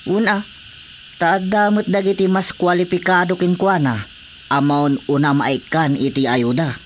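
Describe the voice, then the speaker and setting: A man's voice: sits high, steady tone, measured pace, slight background noise. A man with an Ilocano accent playing an authoritative village captain in a radio drama comedy. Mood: matter-of-fact. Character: male village captain, matter-of-fact and authoritative.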